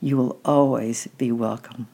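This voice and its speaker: A woman reading aloud, voicing a kindly, practical old grandmother in a children's storybook with a soft, measured tone. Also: very deep voice, steady tone, heavily acted. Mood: gentle.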